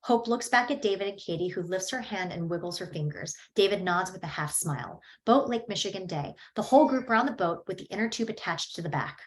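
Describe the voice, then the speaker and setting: A woman's voice: medium pitch, lively intonation, a little fast, few pauses, close to an everyday voice. A woman reads stage directions as scripted narration at a table read.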